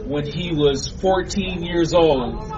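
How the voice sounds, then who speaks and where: A man's voice: on the high side, takes it slowly. A man addressing his relatives at a family reunion in an earnest, preacherly way. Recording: muffled, distant, crowd chatter behind.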